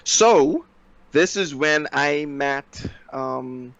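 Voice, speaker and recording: Animated Bahamian man telling stories. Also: a little high, drawn-out words, dry recording. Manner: animated, slangy, acts out quotes. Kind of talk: casual storytelling chat. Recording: dry, slightly dull lo-fi audio.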